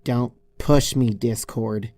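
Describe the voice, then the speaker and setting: A narrator voicing an exasperated young female unicorn pony in a fan story reading, indignant and pleading, given to frustrated shouts and mutters. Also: mid-range voice, steady tone, slow, no echo. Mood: angry, worried.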